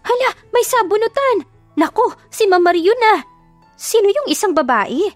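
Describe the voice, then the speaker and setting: A nosy, judgmental neighborhood gossip, put on by a woman narrator in an audio drama. The voice is scornful and pitched high, with music behind it. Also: sing-song, moderate pace, no echo. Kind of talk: audio drama storybook acting.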